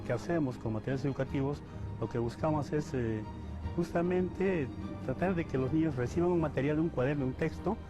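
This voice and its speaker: Deliberate man explaining his work. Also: medium pitch. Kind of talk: interview answer.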